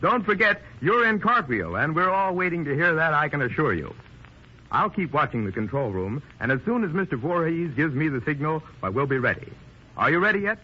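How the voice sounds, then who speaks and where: High, animated 1930s radio announcer, male. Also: brisk. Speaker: radio presenter. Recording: dull lo-fi 1930s radio recording.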